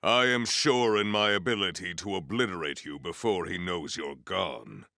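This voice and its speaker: Battle-hungry video game hero, gravelly, formal grandiose phrasing, animated intonation, dry close studio sound. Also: male voice, low voice, moderate pace, dry recording, full character voice. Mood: determined. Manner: formal, stilted, grandiose diction without contractions. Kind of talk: scripted video game character lines.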